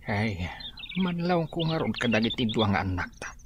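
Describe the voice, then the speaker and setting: A man's voice: on the low side, big pitch swings, moderate pace, slight background noise. An actor playing a stern, hardworking rural farmer father in a radio drama, with a scolding tone. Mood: grumbling.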